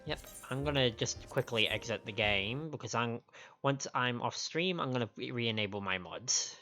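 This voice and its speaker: A man's voice: medium pitch, animated, moderate pace, pushed and bright. A man chatting casually as a livestream host, sniffly, with hesitant, rambling asides. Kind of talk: casual stream chat. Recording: dull lo-fi stream audio, faint music.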